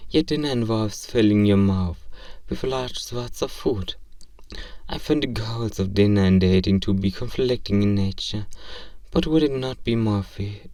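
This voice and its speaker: A man's voice: on the low side, darkish tone, dry recording, distant mic. A man voicing an earnest, curious robot learning about human love in a comedic role-play, speaking in formal, literal, stiltedly polite phrases. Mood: curious, clinical. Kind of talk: ASMR role-play comedy audio drama.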